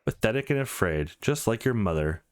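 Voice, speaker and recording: A man's voice: lowish voice, lively intonation, brisk, clean tone, dry recording, clean studio sound, lightly acted. A man voicing a cruel father who taunts with sneering contempt in a visual novel. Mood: cold, taunting.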